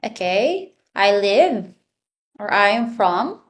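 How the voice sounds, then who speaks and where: A woman's voice: mid-range voice, big pitch swings, slow. A woman from the Philippines teaching an online English class to children, asking patient prompting questions over a video call.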